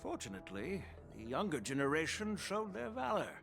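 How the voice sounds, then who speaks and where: Courteous, earnest male game official: expressive melody, gravel in the voice, music underneath. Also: a little high, fairly quick, heavily acted. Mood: courteous, grave. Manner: ornate, ceremonious. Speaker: game character voice actor. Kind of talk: formal dramatic game dialogue. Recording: music behind the voice.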